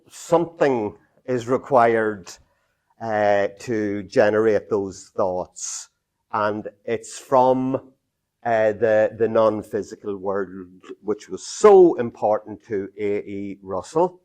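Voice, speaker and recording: Irish man in his fifties, slow unhurried delivery, halting with lots of fillers, small room, distant camera sound. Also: fairly low pitch, darkish tone. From Ireland. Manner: halting, many fillers and restarts, gestures a lot while talking. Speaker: speaker giving a talk. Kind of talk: unscripted public talk. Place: small meeting room, indoors.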